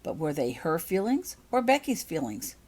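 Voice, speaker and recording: A woman's voice: deep voice, very animated, normal speed, darkish tone. A woman narrating a story as its author, in an audiobook reading style.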